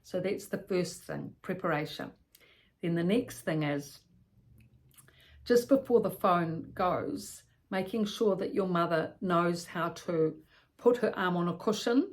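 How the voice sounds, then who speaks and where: A woman's voice: fairly low pitch, a little slow. A woman giving an instructional talk as a care educator, explaining step by step with practical examples and repeated points.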